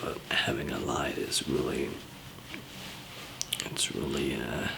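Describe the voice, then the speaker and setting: Man, ASMR roleplay, very crackly fry, low pitch, half-whispered, hesitant gentle explaining. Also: steady tone, takes it slowly. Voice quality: soft whispering mixed with low murmur, gravelly. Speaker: ASMR roleplayer playing a survivor camp member handing out supplies.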